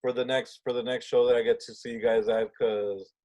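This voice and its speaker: A man hosts a music podcast in an easygoing way. He stumbles through his questions with fillers and restarts. His voice sits at a plain middle pitch. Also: little pitch movement, fairly quick, dark tone. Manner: hesitant, many fillers and restarts. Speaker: podcast host. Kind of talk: casual interview.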